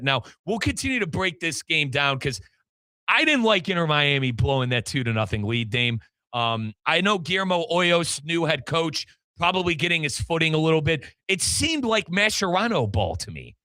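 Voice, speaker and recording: Bright, punchy male sports radio host. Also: a little high, dry recording. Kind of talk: animated soccer radio talk.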